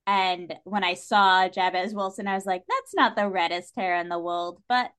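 A woman speaking as a podcast guest in a casual, opinionated discussion, with an animated, wry manner. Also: mid-range voice, normal speed, clean tone.

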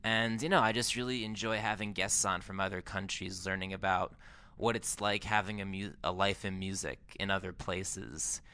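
A man's voice: low voice, steady tone, normal speed, bright, forceful tone, smooth. An American man hosting a music podcast, talking casually as he introduces and interviews a guest. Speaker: podcast host, music journalist.

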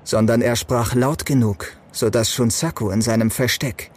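A man's voice: medium pitch, steady tone, normal speed, a little hiss or hum. A man reading scripted audio drama narration as the storyteller. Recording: loud music behind narration.

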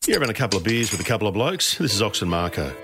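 A quick-talking Australian man with a fairly low voice announces a podcast intro. His pitch moves around a lot, and music plays behind him. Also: very animated.

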